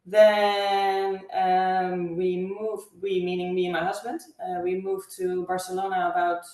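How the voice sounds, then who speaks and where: A woman from the Netherlands with a Dutch accent, a guest answering interview questions in a measured, thoughtful way with frequent fillers. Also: on the low side, level tone, darkish tone. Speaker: guest, travel agency owner. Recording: echoey room, far from mic.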